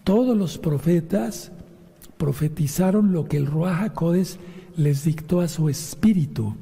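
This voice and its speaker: A man preaching and teaching from scripture in a hoarse voice, addressing his listeners directly with questions and instructions. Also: on the high side, dark tone. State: hoarse voice from sinusitis after an operation. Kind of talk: sermon with scripture reading and commentary. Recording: long reverberant hall sound.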